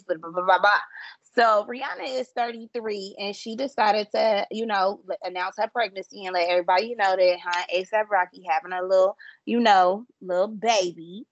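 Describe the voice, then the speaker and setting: A woman's voice: mid-range voice, fairly even intonation, a little fast, highs cut off. A woman in her thirties co-hosting a podcast, talking loosely and casually with a lot of fillers and the odd curse word. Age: 30s. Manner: loose, profane, chatty with lots of fillers. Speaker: podcast co-host. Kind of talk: casual chat.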